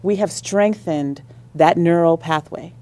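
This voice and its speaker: A woman's voice: lowish voice, a little slow, warm tone. A woman on a radio interview, explaining at length with enthusiasm about library services. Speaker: school district library leader.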